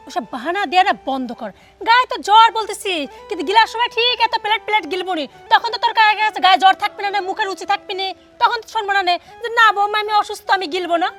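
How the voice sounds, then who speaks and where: A spiteful daughter-in-law rattles off harsh, mocking taunts in a very high, rapid voice, as a woman plays her. She badgers without letup, with faint music under her. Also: fairly bright tone. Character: cruel hungry daughter-in-law, scolding and spiteful. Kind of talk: melodramatic domestic drama acting.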